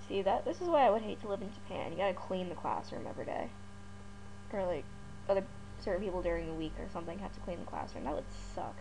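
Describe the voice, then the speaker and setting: A woman's voice: lowish voice, animated, fast, gravelly. A woman with a stuffy cold, chatting casually as she plays a visual novel, reading lines aloud between asides. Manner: reads game text aloud, chatty asides, frequent laughs. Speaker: let's play gamer. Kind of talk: casual gameplay commentary. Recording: mains hum in background.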